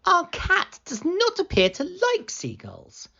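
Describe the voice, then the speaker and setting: A woman's voice: very high voice, huge pitch swings, takes it slowly, creaky, pushed and bright, dull audio, pitched above the performer's own voice, exaggerated voice. A woman voicing a resourceful, fussing lighthouse keeper's wife in a picture book, genteel and sing-song. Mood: determined.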